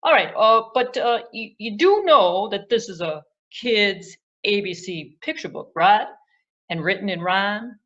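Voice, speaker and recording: A woman's voice: medium pitch, animated, unhurried, a bit bright, muffled recording. A woman voicing a self-important, theatrical male puppet Broadway critic in a grandiose manner for a children's puppet show. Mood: eager, fussy. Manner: grandiose, dramatic, with repeated phrases.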